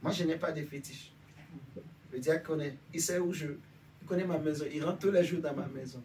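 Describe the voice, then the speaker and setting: A man from Benin gives an informal sermon to a small congregation in a conversational voice that sits a touch high. He keeps asking his listeners whether they follow him. He is recorded from a distance, with faint noise behind him. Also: moderate pace. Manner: conversational, asks listeners for agreement. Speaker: preacher.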